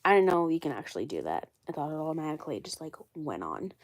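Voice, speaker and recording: A woman in her mid-twenties talks casually on a phone livestream, her voice froggy and hoarse from a cold. She rambles, circles back and repeats herself, sometimes a little defensive. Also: deep voice, sing-song, fast, slight background noise. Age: 20s. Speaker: doll collector livestreaming.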